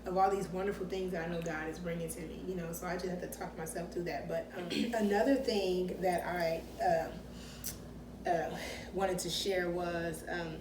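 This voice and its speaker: Reflective woman co-host, chatty fillers; distant mic, clear room noise. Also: lowish voice, fairly even intonation, moderate pace, echoey room. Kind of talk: candid casual chat.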